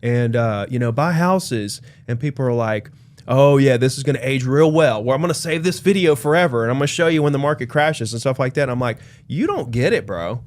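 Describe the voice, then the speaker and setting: A man's voice: medium pitch, lively intonation, brisk, no echo. A man co-hosting a casual podcast chat, talking loosely with lots of fillers and restarts. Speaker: podcast co-host, real estate agent.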